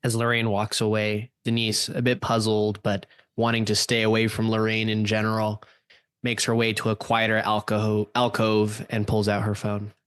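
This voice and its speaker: A man's voice: lowish voice, completely flat delivery, moderate pace, a bit bright, clear, resonant tone, no room sound. A man setting scenes as narrator of an improvised radio play, describing the action as it unfolds.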